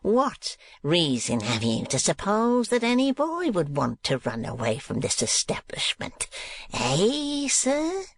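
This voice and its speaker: A man voicing a cruel, blustering middle-aged schoolmaster who sneers and bullies in a slow, menacing way. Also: sits high, huge pitch swings, no echo, pitched above the performer's own voice, full character voice. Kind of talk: audiobook character voice.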